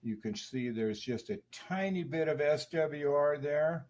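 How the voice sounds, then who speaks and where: A man's voice: fairly high pitch, animated, measured pace, darkish tone, a little hiss or hum. A man giving an unscripted, hands-on technical demonstration of equipment, explaining each step methodically. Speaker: radio restorer demonstrating equipment.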